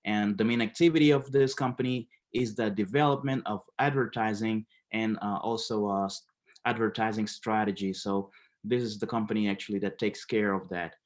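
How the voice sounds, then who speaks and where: A man from Haiti presenting a business webinar, with many fillers and halting restarts. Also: fairly low pitch, fairly even intonation.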